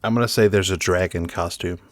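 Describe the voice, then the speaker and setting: A man's voice: low voice, steady tone, brisk, no room sound, studio quality. A man chatting casually and playfully as a player in an improvised tabletop role-playing game.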